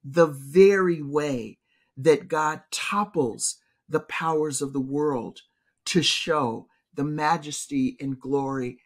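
A woman's voice: sits low, slow pace. Emphatic middle-aged woman on video call. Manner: emphatic, preacherly, gestures while talking. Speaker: professor and podcast co-host. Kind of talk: casual theological discussion. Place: home office. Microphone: video call webcam.

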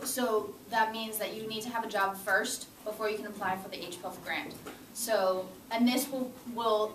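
A woman's voice: mid-range voice, fairly bright tone. A young woman in her twenties, a student group co-president, giving a chatty, upbeat, informal talk to students in a lecture room. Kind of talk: casual presentation. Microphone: far camera. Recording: echoey room, far camera, clear room noise.

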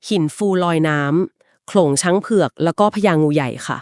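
A woman co-hosting a podcast, retelling an old legend conversationally and explaining it at length, with polite particles and short filler pauses. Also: low-pitched, no echo, clean studio sound. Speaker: podcast co-host. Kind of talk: conversational storytelling of a folk legend.

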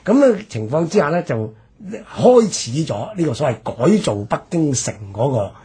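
A man with a Hong Kong Cantonese accent, explaining history as a radio presenter with drawn-out phrase endings. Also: on the high side, sing-song, mellow, breathy edge.

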